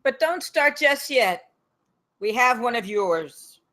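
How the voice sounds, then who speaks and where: Woman acting high-voiced sneering mob leader. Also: expressive, slow, fairly bright tone. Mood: menacing. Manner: mocking, menacing. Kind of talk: live screenplay table read.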